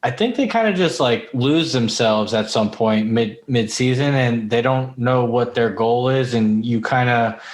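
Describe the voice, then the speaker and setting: A man's voice: mid-range voice, a little slow, hardly any pauses. A man chatting casually on a podcast, informal and prone to swearing. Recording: echoey, roomy sound.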